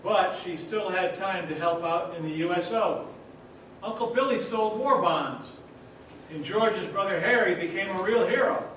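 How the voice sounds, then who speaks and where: A man playing a solemn senior angel in a radio play, telling a story in measured fashion on a theater stage. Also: high-pitched, fairly bright tone. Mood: solemn, narrating. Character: solemn senior angel recounting a man's life. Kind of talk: old-time radio play acting on stage. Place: theater hall, distant mic. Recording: distant echoey stage recording, faint noise.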